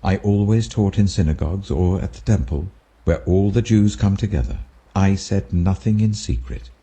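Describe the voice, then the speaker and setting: A British man voicing a calm, authoritative holy teacher, deliberate and earnest in a husky scripture reading. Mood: earnest, challenging. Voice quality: deep, husky.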